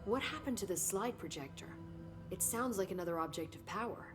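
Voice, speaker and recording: An actress playing a curious, analytical government researcher in a video game, inquisitive and articulate. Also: female voice, medium pitch, lively intonation, fairly quick, music in the background, natural voice. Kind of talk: video game cutscene acting.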